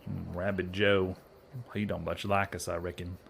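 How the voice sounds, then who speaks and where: An actor playing a naive, earnest young cowboy outlaw weighed down by guilt, with a folksy rural American Western drawl, in a comedy western audio drama. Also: male voice, sits low, level tone, normal speed, vocal fry, soft background music. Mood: guilty, brooding. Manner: folksy, ungrammatical bumpkin talk.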